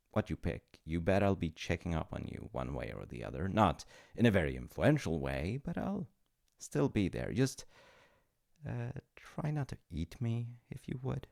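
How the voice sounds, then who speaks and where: A man performs a chummy supernatural clerk of the afterlife for a comedic audio roleplay. The voice is low and creaky, swinging freely in pitch. He pitches deals in loose, hesitant, informal patter and bends rules like an old pal. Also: sing-song, unhurried, darkish tone, full character voice. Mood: amused, reassuring. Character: chummy, rule-bending afterlife reincarnation agent, male supernatural being.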